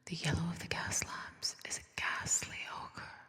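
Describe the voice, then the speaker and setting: Female ASMR storyteller, crisp bright whisper, deliberate scripted narration with dramatic pauses, close binaural mic. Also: long reverb tail. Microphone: close binaural microphone.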